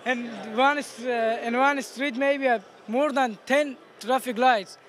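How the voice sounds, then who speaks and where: A teenage male student with an Afghan accent explaining his project in an interview in halting non-native English. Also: extremely high pitch, fairly even intonation, crowd noise. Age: teens. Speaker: student competition participant. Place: busy exhibition booth indoors. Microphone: handheld interviewer microphone. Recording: noisy event hall background.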